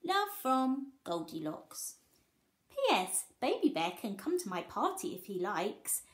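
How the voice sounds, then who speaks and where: An apologetic little storybook girl, played by a woman reading aloud, earnest and polite. Her letter comes out careful and sing-song, with a very lively rise and fall. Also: mid-range voice, a little slow, roomy sound.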